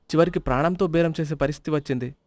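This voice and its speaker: A man's voice: a little high, fast, a little mellow, dry recording, natural voice. A man voicing a stingy middle-aged village man in a storybook tale, whiny and always counting money. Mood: rueful. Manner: whiny, counts every rupee. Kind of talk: storybook voice acting.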